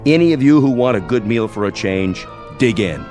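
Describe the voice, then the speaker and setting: A man's voice: on the low side, animated, normal speed, a little mellow, lightly acted. An actor playing a cocky, mocking army major in a radio drama, sarcastic and theatrical in his taunts. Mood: brash. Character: cocky, mocking military major, a swaggering intruder. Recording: radio drama with music underneath.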